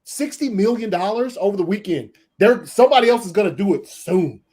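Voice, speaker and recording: A man's voice: sits high, animated, a little fast, darkish tone. A man chatting casually on a streamed panel, emphatic, slangy and freely swearing.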